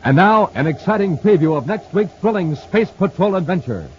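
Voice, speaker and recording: A booming male announcer gives a salesy, emphatic pitch, and his delivery swings widely. Faint hiss runs under a dull, muffled old radio transcription. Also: lively intonation, normal speed. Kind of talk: 1950s radio announcing and ad reading.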